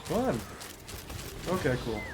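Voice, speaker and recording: A man's voice: fairly high pitch, sing-song, slow pace. A man giving casual let's-play commentary on a video game, thinking aloud as he plays. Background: game music.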